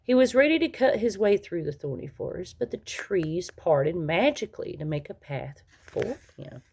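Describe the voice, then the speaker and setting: A woman reading a children's story aloud as narrator, in an expressive storytelling manner that leans on key words. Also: lowish voice, moderate pace, a little hiss or hum. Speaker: storybook reader.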